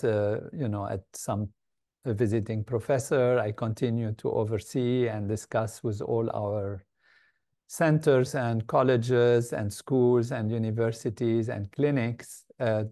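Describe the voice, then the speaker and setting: Older man from Lebanon, interview guest, thoughtful and measured, warm low-key tone. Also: fairly even intonation. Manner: measured, thoughtful, with frequent pauses and fillers. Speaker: guest, meditation organization leader. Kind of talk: reflective interview answers.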